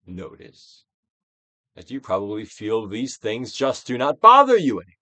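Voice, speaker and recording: A man giving a dramatic, Shakespearean-style reading of a self-help book, deliberate and theatrical, with drawn-out emphasis. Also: wildly swooping pitch, no room sound. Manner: theatrical Shakespearean delivery, swinging from hushed to booming. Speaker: audiobook reader.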